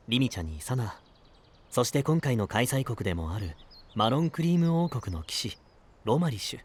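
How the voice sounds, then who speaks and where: A man playing a composed young knight in an anime-style voice drama, explaining things in a measured, confident way. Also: medium pitch. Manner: measured, explanatory, with deliberate phrasing. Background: prominent background music.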